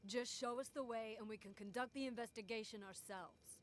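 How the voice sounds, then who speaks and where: A woman acts an assertive female cop in an audio drama game. Her voice sits fairly high, and she is brisk, direct and incredulous. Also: slight background noise, lightly acted. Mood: insistent. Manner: direct, pushy. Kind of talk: interactive radio drama acting.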